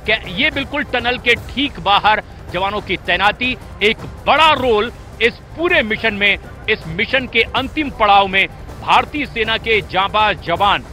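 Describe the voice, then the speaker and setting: A man with a very high-pitched, piercingly bright voice delivers live breaking-news commentary. He is urgent and emphatic, hammering key phrases by repetition, with faint music underneath. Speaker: news anchor.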